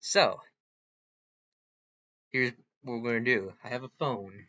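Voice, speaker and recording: A man hosting a casual, unscripted vlog, talking haltingly and speaking straight to a chatbot. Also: medium pitch, pushed and bright, dry recording, muffled recording. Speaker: host of an online character-chatbot video.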